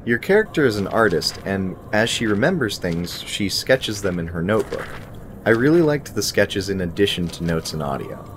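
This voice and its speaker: A man's voice: lowish voice, big pitch swings, moderate pace, slight background noise. A young man presenting a scripted video game review in an articulate, presentational way. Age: young adult. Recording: music behind the voice. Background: background music.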